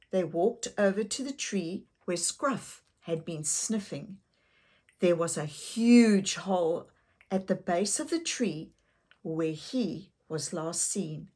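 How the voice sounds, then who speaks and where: An older woman narrating a children's story expressively, gently and deliberately, with questions to young listeners. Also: fairly low pitch, expressive, breathy edge. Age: older, grandmother.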